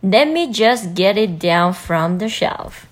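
A man voicing a prim, kind woman librarian in a read-aloud storybook, speaking in a gentle, proper, exaggerated way. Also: high-pitched, lively intonation, slow pace, voice pushed up. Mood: cheerful.

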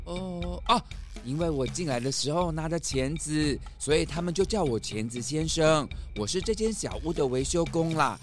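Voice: medium pitch, lively intonation, moderate pace, soft background music, close to an everyday voice. A voice actor playing a mild, evasive male hare handyman who acts innocent in a children's audio drama. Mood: mild, obliging. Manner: innocent-sounding denials.

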